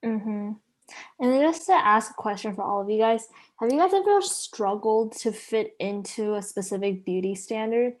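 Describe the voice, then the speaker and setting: A woman's voice: mid-range voice, normal speed. A teenage girl co-hosting a podcast, talking casually with many "like" and "um" fillers. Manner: hesitant, heavy "like" and "um" fillers. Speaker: podcast co-host. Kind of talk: casual chat.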